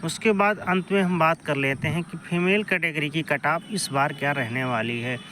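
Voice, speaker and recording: A man's voice: on the high side, normal speed, bright, forceful tone, a little hiss or hum. A man explaining exam analysis to students in informal, repetitive, step-by-step phrasing. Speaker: exam-coaching presenter.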